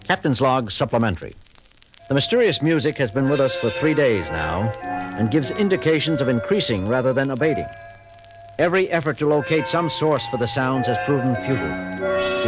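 An actor playing a decisive male starship captain who talks in a commanding, expressive way and narrates his log, in a 1970s audio drama. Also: mid-range voice, fairly quick, music in the background, close to an everyday voice, rough recording. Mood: log narration.

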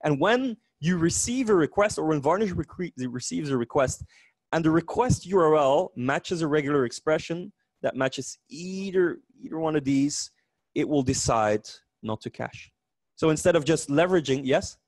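A man in his forties with a Dutch accent, a native Dutch speaker, giving a technical meetup talk in a lively, joking way with frequent restarts. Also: fairly high pitch, normal speed, no echo. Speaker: conference speaker. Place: meetup room.

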